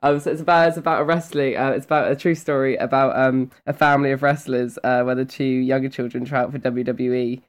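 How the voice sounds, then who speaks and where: A man's voice: medium pitch, steady tone, quick pace, few pauses. Chatty, giggly man being interviewed, tired. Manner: chatty, giggly, self-effacing. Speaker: actor, interview guest. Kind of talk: casual interview chat. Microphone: internet voice call.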